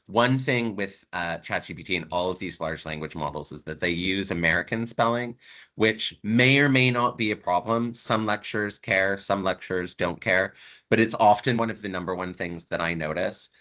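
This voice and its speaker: Bright-toned, low-voiced Canadian-raised man lecturing. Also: normal speed. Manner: conversational, emphatic, repeats words for stress. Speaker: university lecturer. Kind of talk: online lecture to students.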